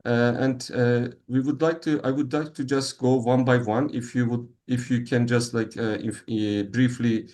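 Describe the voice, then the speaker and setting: A man's voice: mid-range voice, steady tone, normal speed, few pauses, darkish tone. A man hosting an online meeting, speaking English as a with many fillers and restarts. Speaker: meeting host. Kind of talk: online meeting, casual moderating.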